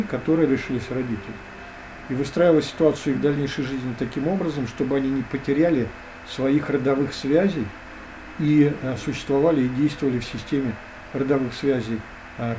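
A man talking as a guest in an interview, hesitant and full of drawn-out fillers. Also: mid-range voice, steady tone, noisy background. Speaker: guest expert. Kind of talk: conversational interview.

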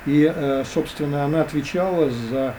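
A man talking as a guest in an interview, hesitant and full of drawn-out fillers. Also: a little high, mellow, a little hiss or hum, poor audio. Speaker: guest expert. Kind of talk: conversational interview.

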